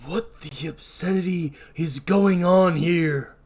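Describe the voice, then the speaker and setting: A stern male prison warden, acted out by a performer reading a comic script. He gives orders slowly and with authority, in a middling-pitched voice. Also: gravelly. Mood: indignant, stern. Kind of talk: comic script read-through.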